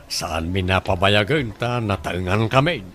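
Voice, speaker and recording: Excitable bachelor son, Ilocano accent, comic radio drama, widely swinging pitch, simple-minded suitor. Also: male voice, measured pace. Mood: bashful, excited.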